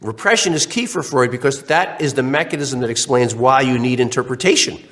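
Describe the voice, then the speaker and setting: A man's voice: medium pitch, fairly quick. A man lecturing online, slightly congested from a cold, with many restarts and fillers. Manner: animated, acts out questions in other voices.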